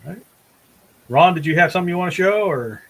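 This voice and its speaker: A man's voice: high voice, lively intonation, quick pace. A man casually moderating a livestream as host, in short conversational turns. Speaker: host moderating a drawing show.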